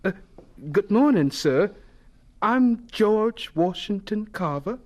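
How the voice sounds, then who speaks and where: A man's voice: high voice, animated, slow. An actor playing an earnest, nervous young male student in an audio drama, stammering and deferential. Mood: eager, nervous.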